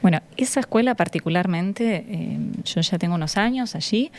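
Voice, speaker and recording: A woman with a Uruguayan accent speaks as a teacher being interviewed in a studio. Her voice is a little low, and she explains in a conversational, halting way, searching for words. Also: lively intonation, a little mellow. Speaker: schoolteacher, interview guest. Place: radio studio. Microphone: studio microphone.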